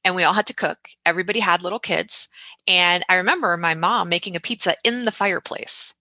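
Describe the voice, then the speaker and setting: A woman in her forties with a bright, forceful voice recounts childhood memories with lively, reflective energy. Her sound is close and dry, with no room around it. She speaks in the easy, open way of a conversational interview guest. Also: fairly low pitch, a little fast, no room sound, rough recording. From United States (grew up in Texas). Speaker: podcast host, guest. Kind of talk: casual interview storytelling.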